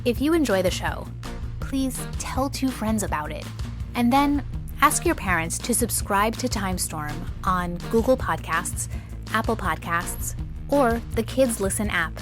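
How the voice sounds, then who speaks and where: Female host for kids' podcast; scripted narration, mid-pitched voice, music playing behind. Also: expressive, measured pace. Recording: clear music bed under voice.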